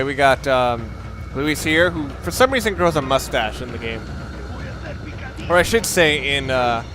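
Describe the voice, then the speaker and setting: A man giving casual, unscripted video game commentary in a rambling, sweary, slangy way. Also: mid-range voice. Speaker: let's play commentator. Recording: clear background noise.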